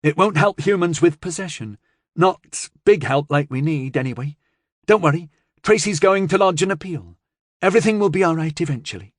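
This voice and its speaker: A man voicing an eager, excitable young girl who talks quickly. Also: a little high, dry recording, studio quality, pitched above the performer's own voice, lightly acted.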